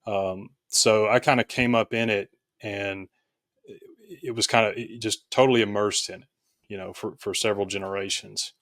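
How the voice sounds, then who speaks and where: American guy on a podcast, lowish voice, dry room, thinking out loud as he explains. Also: steady tone, moderate pace, no room sound. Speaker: podcast guest, author. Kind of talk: conversational interview.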